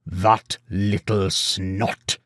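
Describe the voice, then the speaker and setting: A man's voice: medium pitch, a little slow, darkish tone, dry recording, clean studio sound, close to an everyday voice. A man voicing a huge, blunt, hearty pig farmer in a storybook, with rustic, emphatic phrasing. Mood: sour, scornful.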